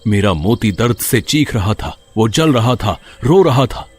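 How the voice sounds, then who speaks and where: A man voicing a vengeful young man's ghost in a bear's body for an animated story, menacing and accusatory. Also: fairly low pitch, a little slow, slightly rough. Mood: bitter, enraged. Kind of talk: dramatic Hindi animated story acting.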